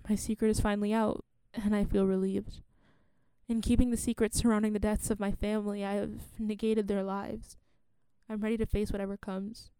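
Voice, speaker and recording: An actress playing a solemn, resolute woman in a radio play, speaking in a measured, earnest way. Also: medium pitch, flat delivery, mellow, smooth, no echo, close to an everyday voice. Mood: solemn, resolved. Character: solemn, resolute woman confessing a long-hidden identity and grief.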